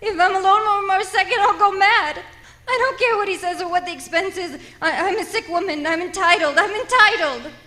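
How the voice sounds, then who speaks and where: An actress playing a frantic, self-pitying, imperious invalid wife in a radio thriller, stammering, pleading and breaking into shrill outbursts. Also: female voice, a little fast, bright, forceful tone, a little hiss or hum. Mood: hysterical, angry. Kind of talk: old-time radio thriller acting.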